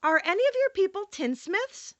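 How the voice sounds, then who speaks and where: A woman's voice: extremely high pitch, very animated, normal speed, fairly bright tone, dry recording, voice pushed up, heavily acted. A woman voicing an earnest, eager young girl heroine in a storybook reading. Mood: earnest, urgent.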